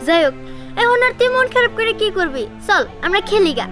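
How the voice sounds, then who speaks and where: A woman's voice: very high-pitched, sing-song, brisk. A woman playing a chatty village child in a fairy-tale drama. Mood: coaxing. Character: chatty, cheerful village child, coaxing playmate. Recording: music behind the voice.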